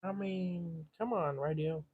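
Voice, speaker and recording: A man's voice: on the high side, slow, narrow, tinny audio, a little hiss or hum. A man thinking aloud in short muttered fragments, giving casual live commentary as he does repair work. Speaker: livestream host repairing a vintage radio.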